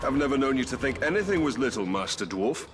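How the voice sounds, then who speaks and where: A man's voice: medium pitch, very animated, quick pace, lightly acted. A man playing a grim, resolute human ranger in a video game cutscene, earnest and commanding, with music under his voice. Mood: concerned, dry.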